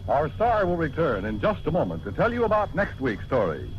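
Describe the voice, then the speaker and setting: Male radio announcer, polished 1940s style, very lively intonation, dull old recording, music behind. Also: fairly high pitch, brisk.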